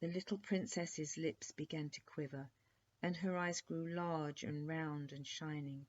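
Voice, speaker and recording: A woman reading a children's bedtime story aloud as an expressive narrator. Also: low-pitched, a little slow. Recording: dull lo-fi recording.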